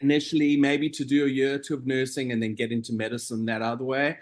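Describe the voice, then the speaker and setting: A middle-aged man from South Africa, a nurse being interviewed, talking in a relaxed, meandering way with frequent pauses. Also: mid-range voice. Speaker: guest, operating room nurse. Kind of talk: casual interview conversation.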